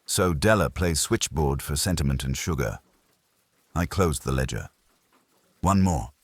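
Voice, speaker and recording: A man narrating a hardboiled detective story in a dry, clipped, deadpan way. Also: rumbling low, moderate pace, breathy edge, no room sound.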